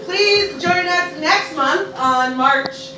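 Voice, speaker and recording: A woman's voice: extremely high pitch, drawn-out words. A woman hosting a live show, making an informal announcement to thank the audience. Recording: very echoey, noisy room, distant mic.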